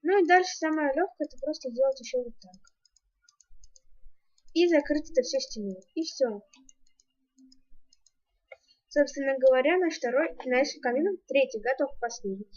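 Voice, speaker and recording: A woman giving a casual game building tutorial, thinking aloud and counting her steps as she goes, on a muffled home microphone. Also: high-pitched. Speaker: YouTuber, gaming channel host. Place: indoors at home, screen-recording voice-over.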